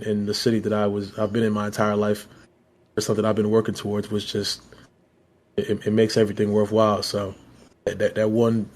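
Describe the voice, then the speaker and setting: A man from Philadelphia co-hosting a podcast, his voice a little hoarse from being sick, rambling with repeated words and "you know what I'm saying.". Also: lowish voice. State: hoarse from illness. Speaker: podcast co-host. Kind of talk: casual chat.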